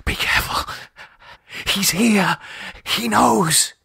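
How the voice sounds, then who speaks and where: Frantic, high-pitched terrified man. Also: slow, gravelly, sharp tone, breathy edge, heavily acted. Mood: fearful, paranoid. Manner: frantic, sweary, stammering. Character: terrified, raving, blinded man trapped in a dark cellar. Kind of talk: audiobook character voice.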